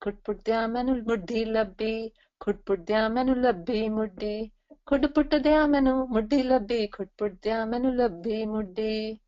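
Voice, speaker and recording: A woman plays a clever little storybook mouse with a Punjabi accent, cheeky and bargaining. His high voice chants in sing-song rhyme. The sound is slightly dull. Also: very animated, normal speed, a little mellow. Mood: gleeful.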